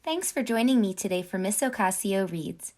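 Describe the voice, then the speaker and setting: A woman reading a picture book to children in an expressive, deliberate storyteller's delivery. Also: mid-range voice, soft background music. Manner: clear, deliberate delivery addressed to young children. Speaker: children's read-aloud storyteller and host.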